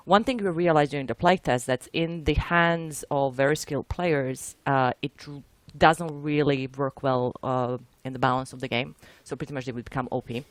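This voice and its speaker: A woman's voice: a bass voice, steady tone, normal speed, no echo. A woman from Croatia with a Croatian accent, speaking informally as a game producer in a casual developer discussion, often rephrasing mid-sentence. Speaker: game producer, panel guest.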